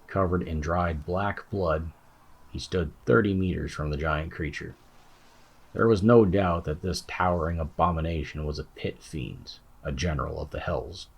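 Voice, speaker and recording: A man's voice: low-pitched, husky. A man giving a scripted narration of a fantasy audiobook as its narrator.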